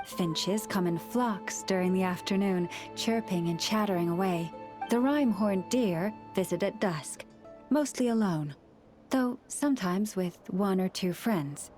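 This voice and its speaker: A solemn, kindly young priestess from a video game, acted by a woman. Her pitch sits in an ordinary female range and her phrasing is formal and measured. Music plays under the voice. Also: a little mellow, natural voice. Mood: serene. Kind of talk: video game cutscene voice acting.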